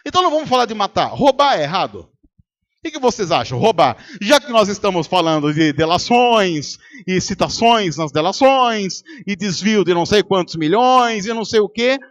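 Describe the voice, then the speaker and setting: A Brazilian pastor delivers a sermon in a noticeably high voice. He argues rhetorically, keeps quizzing the congregation and acts out both sides of a debate. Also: fairly bright tone. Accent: Brazilian Portuguese. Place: church service.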